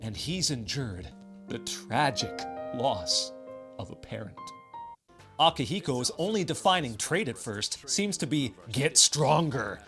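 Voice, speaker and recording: A man narrates a scripted video essay on games with wry, sardonic emphasis. His middle-pitched voice moves in lively swings over faint background music. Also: measured pace. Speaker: video game commentator.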